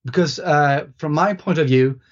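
A man's voice: a little high, normal speed. A man whose first language is Swedish, a guest on a podcast, thinking aloud in casual conversation with frequent fillers and restarts. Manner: thoughtful, hesitant with many restarts and fillers. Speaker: guest author. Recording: slightly dull lo-fi sound.

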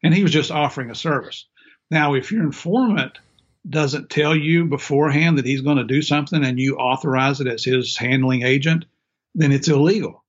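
A man's voice: medium pitch, normal speed, highs cut off. An older man with a country Southern accent from Tennessee and West Virginia, telling stories as an interview guest in a folksy, self-deprecating way. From Middle Tennessee and Southern West Virginia, USA. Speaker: former drug enforcement agent, interview guest. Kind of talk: casual interview storytelling.

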